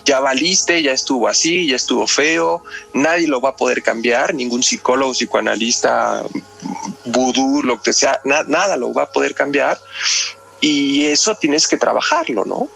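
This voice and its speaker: A man's voice: mid-range voice, moderate pace, gravelly, fairly bright tone. A man with a Mexican accent speaking as a guest psychoanalyst in conversation, explaining step by step and often tagging on questions. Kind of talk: explanatory conversation about a crime case. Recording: music behind the voice.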